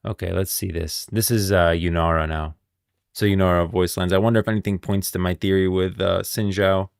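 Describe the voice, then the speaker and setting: A man's voice: low-pitched, fairly even intonation, a little fast, vocal fry, smooth, no room sound, studio quality. A man giving casual, opinionated live-stream commentary, thinking aloud with emphasis.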